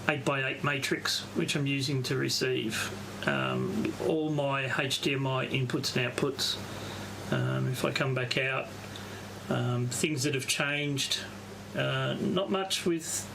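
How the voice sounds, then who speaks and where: A man, an amateur radio operator giving a casual, halting, unscripted walkthrough on a live stream. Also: medium pitch, creaky, noisy background. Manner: halting, unscripted, thinking aloud. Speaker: amateur radio operator presenting his station.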